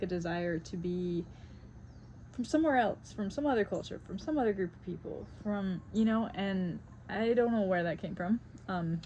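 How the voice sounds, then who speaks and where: A woman's voice: on the low side, mellow, dull audio, a little hiss or hum. A woman in her thirties from South Carolina with a faint Southern US accent, chatting casually and reflectively while she paints, with many fillers. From South Carolina, United States. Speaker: vlogger. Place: home on Maui.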